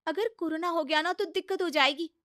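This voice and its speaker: A very high, closely recorded young daughter-in-law, acted by a woman in a comic household story. She nags and pleads with cheeky exasperation. Her delivery is insistent and scolding. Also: a little fast, bright, forceful tone, no echo, studio quality. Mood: complaining.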